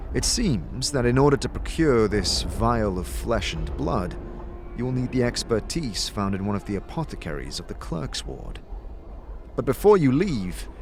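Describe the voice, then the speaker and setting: A man giving dramatic, scripted storybook-style narration of a game tale in a theatrical, descriptive manner. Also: fairly low pitch. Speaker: narrator and announcer. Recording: clear music behind.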